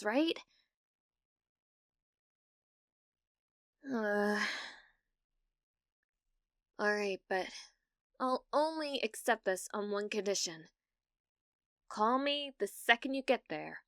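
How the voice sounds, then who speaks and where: ASMR roleplay: actress as obsessive young British female gamer, higher-pitched, halting and nervous, very dry sound. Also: fairly bright tone, no room sound. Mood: wary, determined. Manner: halting, flustered, breathy laughs and hesitations.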